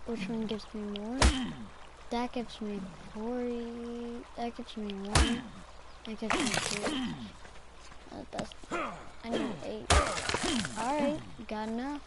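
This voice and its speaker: A woman talking over her gameplay in an unhurried, rambling way, half to herself. Her voice is hoarse and worn from a throat infection. A clear layer of noise sits under the recording. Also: on the high side, fairly even intonation. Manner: rambling, talks to herself while playing. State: hoarse, recovering from strep throat. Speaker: gamer. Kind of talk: casual gameplay commentary.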